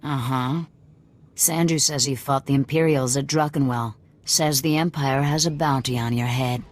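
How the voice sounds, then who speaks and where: An actress playing a tough, distrustful rebel enforcer in a video game, blunt and sardonic. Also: female voice, extremely low pitch, steady tone, normal speed. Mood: suspicious, challenging. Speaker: resistance cell lieutenant. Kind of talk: video game dialogue acting.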